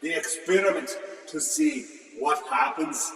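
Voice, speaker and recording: A man's voice: a little high, unhurried, fairly bright tone, echoey room, big-room sound, far from the mic, faint music behind. A man voicing a boastful one-eyed Irish astronaut puppet on a space station, with an Irish accent and a rollicking storyteller lilt.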